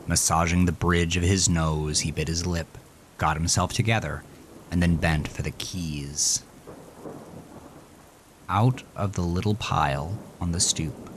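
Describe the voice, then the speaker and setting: A man's voice: a bass voice, fairly bright tone, a little hiss or hum. A man narrating a scripted horror story with intense, dramatic delivery that sinks into whispers.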